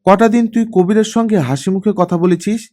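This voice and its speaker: A man's voice: high-pitched, fairly even intonation, fast, warm tone, pitched above the performer's own voice, close to an everyday voice. A man voicing a worried, reproachful middle-aged mother with a cold, probing, scolding manner in an audiobook story.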